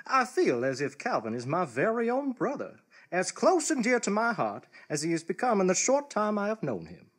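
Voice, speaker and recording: An actor playing a blustery, folksy small-town man, grandiose and wry, with a husky voice in a live comic radio play. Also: medium pitch, huge pitch swings, moderate pace. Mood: insincere sweetness. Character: blustery folksy small-town big shot, falsely sweet, suspicious man.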